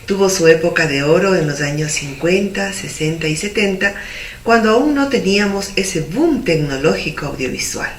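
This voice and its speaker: A woman's voice: lowish voice, expressive, moderate pace. A woman giving a methodical, didactic explanation in an instructional style. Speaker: radio drama producer giving a tutorial. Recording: roomy, distant mic, faint noise.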